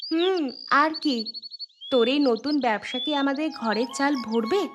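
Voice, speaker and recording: A woman playing a sceptical, sharp-tongued cartoon village wife, sarcastic and teasing, over clear flute music. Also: high-pitched, unhurried. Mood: mocking. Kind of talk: comic Bengali cartoon dialogue.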